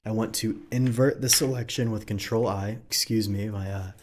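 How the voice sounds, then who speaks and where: A man with a fairly low voice, slightly raspy, talking through a software tutorial in an easygoing, think-aloud way. Keyboard typing clicks along under his speech. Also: normal speed, noisy background. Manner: relaxed, thinks aloud while working, frequent fillers. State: slightly raspy from long recording sessions. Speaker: 3D modeling tutorial host. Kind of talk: casual screen-recorded software tutorial.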